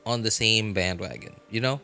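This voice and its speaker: A man hosting a livestream, chatting casually and unscripted with viewers in a halting, rambling way that often repeats phrases. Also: fairly low pitch, bright, forceful tone, clear, resonant tone, faint music behind. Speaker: livestream host.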